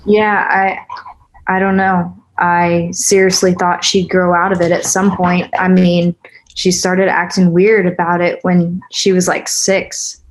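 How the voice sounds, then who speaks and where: A woman's voice: lowish voice, level tone, unhurried, natural voice, poor audio. A woman acting a tough, weary, guarded single mother in a naturalistic screenplay read, blunt and sardonic. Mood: weary, worried. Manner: blunt, sardonic, plain-spoken.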